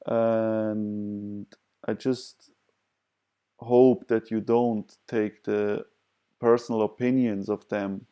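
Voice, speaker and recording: Male, non-native English speaker, for an advice video. Mellow, even voice with little melody, halting and hesitant, searching for words. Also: fairly low pitch, muffled recording. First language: not English. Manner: hesitant, halting delivery with long pauses and repeated words. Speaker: video presenter sharing personal advice. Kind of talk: unscripted advice talk to camera.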